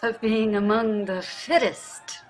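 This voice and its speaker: Slow, restrained wealthy wife, acted by a woman. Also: medium pitch, steady tone, a little hiss or hum, lightly acted, rough recording. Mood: quietly bitter. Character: wealthy, restrained, quietly bitter wife named Betsy. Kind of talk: staged play reading over video call.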